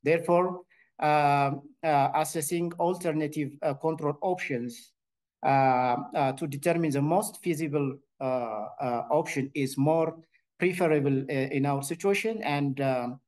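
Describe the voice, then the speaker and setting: A man's voice: fairly high pitch, a little mellow. Hesitant Ethiopian man presenting research. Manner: hesitant, many filled pauses and restarts. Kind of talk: conference presentation.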